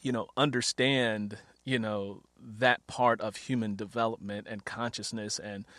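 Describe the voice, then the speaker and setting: A man's voice: lowish voice, steady tone, a little slow, fairly bright tone, no room sound. A middle-aged man hosting a casual interview, chatty and rambling, often repeating his phrases as he goes. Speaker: interviewer, podcast host.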